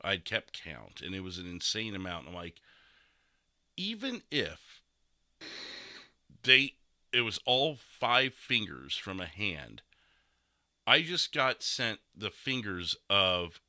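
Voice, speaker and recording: Man, very bright forceful voice, slightly low, casual streamer host, rambling storyteller. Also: big pitch swings, takes it slowly, long pauses, dry recording, clean studio sound. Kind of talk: casual podcast chat over game roleplay.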